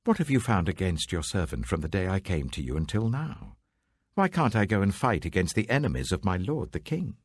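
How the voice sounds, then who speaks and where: Man acting an insistent warrior leader, animated intonation. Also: sits low, a little fast, husky, no echo, studio quality, heavily acted. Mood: wounded protest. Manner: questioning, insistent. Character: proud young warrior leader, earnest and insistent.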